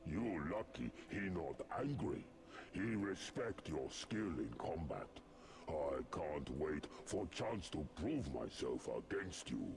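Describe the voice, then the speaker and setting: An actor playing a huge, dim-witted, battle-hungry brute in a fantasy video game, boastful and blunt, in broken grammar. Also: male voice, very deep voice, big pitch swings, background noise, heavily acted. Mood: menacing, taunting. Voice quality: gravelly. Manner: broken third-person grammar, slow and blunt.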